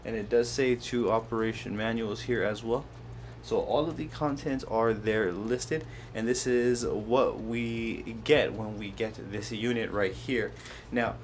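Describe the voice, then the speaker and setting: A man's voice: mid-range voice, measured pace, few pauses, a little hiss or hum. A man hosting a casual hands-on how-to video, explaining things step by step as he handles them. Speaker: host of a how-to product video. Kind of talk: casual hands-on tutorial narration.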